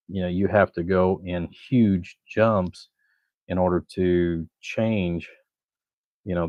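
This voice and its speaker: Low-voiced, monotone man explaining technical details. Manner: halting, rambling, repeats himself. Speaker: electronics technician and channel host. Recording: dull muffled audio, roomy echo.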